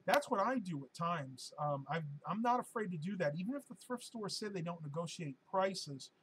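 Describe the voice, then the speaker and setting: Hoarse-voiced man chatting on a live stream. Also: high-pitched, expressive, moderate pace, a bit bright, slight background noise, low-quality recording. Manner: chatty and rambling, with many ums and uhs. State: hoarse, losing his voice. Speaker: live-stream host answering viewer questions.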